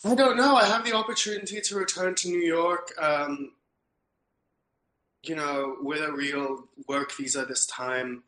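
A man's voice: a little high, fairly even intonation, unhurried, pushed and bright, rough recording. A young man from Turkey, a podcast guest, talking thoughtfully and earnestly about his views, with frequent ums and restarts. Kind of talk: casual interview talk.

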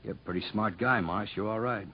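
A sly convict ringleader with a tough, slangy American delivery. The voice is lowish and husky, terse and threatening, in an old radio prison drama. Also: male voice, brisk. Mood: sly, probing. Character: menacing, sly convict ringleader plotting a breakout. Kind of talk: 1950s radio drama acting. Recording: muffled vintage 1950s radio recording.

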